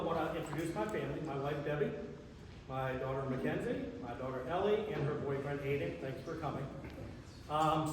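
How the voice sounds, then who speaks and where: A man in his sixties, a retired school principal, telling his career story at a public forum in an upbeat way. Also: fairly high pitch. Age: 60s. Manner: upbeat, storytelling, with some restarts. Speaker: township trustee candidate, retired school principal. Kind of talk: candidate introduction at a public forum. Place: large echoing public hall. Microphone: distant microphone. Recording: echoey hall from far off, background noise.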